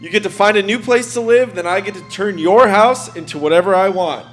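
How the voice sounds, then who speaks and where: A man putting on a high-pitched, brash ad pitchman. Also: fairly quick, a bit bright, soft background music. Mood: pushy, upbeat. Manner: punchy, emphatic salesman delivery. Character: brash, shady male house-buying ad pitchman. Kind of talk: parody radio commercial.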